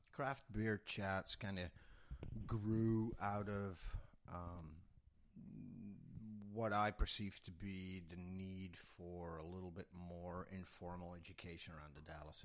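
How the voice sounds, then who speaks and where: Male guest from Belgium, lowish voice, relaxed and explanatory. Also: takes it slowly. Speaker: guest, beer educator. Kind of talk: casual interview talk.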